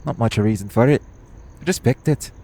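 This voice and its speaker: A man's voice: mid-range voice, fairly quick, mellow, close to an everyday voice. A man playing a cocky, teasing, foul-mouthed hitman in an audio roleplay. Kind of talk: audio roleplay acting addressed to the listener.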